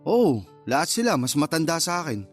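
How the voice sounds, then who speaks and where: A man's voice: a little high, sing-song, a little fast, faint music behind. An actor playing a hesitant but candid young man in a radio drama, casual and mildly defensive as he talks. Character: hesitant, candid younger man who courts older women. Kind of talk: conversational radio drama acting.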